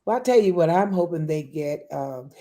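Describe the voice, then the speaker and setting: A woman's voice: low-pitched, expressive, moderate pace, mellow. A woman talking in an encouraging, conversational way as a guest in a group interview about a show. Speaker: stage play guest.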